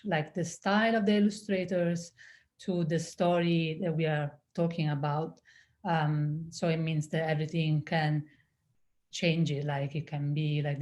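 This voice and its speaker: A woman's voice: lowish voice, a little mellow. A woman, explaining her work casually as a guest on a panel. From Italy. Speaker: art director, interview guest.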